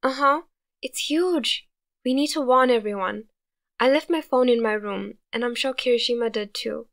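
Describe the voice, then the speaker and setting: A woman's voice: a little high, normal speed, fairly bright tone. A woman voicing an anxious young character who speaks urgently and pleads.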